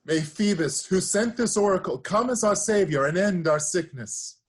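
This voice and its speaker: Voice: mid-range voice, expressive, moderate pace, slightly rough. A performer playing a solemn Theban chorus member praying to the gods in an incantatory Greek tragedy reading. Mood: pleading, reverent.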